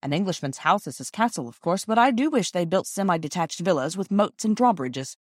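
A woman's voice: fairly low pitch, animated, fairly quick, dry recording. A woman reader gives voice to a jovial storybook father. He talks in a hearty, encouraging way with wry humour, close and dry in sound. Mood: wry annoyance.

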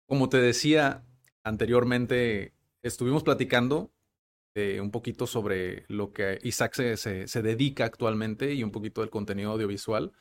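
A man hosts a casual interview. He rambles in halting phrases and leans on fillers and tag questions. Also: lowish voice. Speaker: podcast host.

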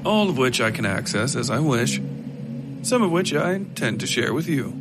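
A mysterious, imposing lord of an otherworldly realm, voiced by a male storyteller. He talks formally and with measured, quiet command, as in a dark fiction audiobook, with music underneath. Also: mid-range voice, lively intonation, natural voice. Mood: courtly, amused. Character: large, mysterious, courtly supernatural male lord of memories.

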